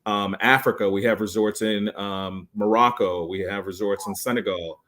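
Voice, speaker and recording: Low-voiced man pitching resorts. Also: steady tone, moderate pace, sharp tone. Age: 40s. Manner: enthusiastic salesy pitch with many fillers. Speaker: resort sales guest. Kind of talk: podcast interview.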